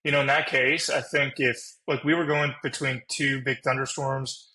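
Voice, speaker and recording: A man speaks in a bright, forceful voice at a middling pitch. His intonation stays fairly level as he chats casually as a pilot on a podcast panel. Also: fairly even intonation, moderate pace.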